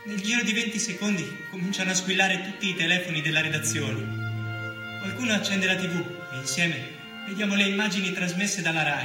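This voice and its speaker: A man acts a sober investigative journalist in a stage monologue. His voice sits a little high and moves in measured, clipped phrases, in a roomy space with music clearly behind it. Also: natural voice. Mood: grave. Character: sober, dogged investigating newspaper journalist narrating his inquiry. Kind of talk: theatrical first-person narration in present tense.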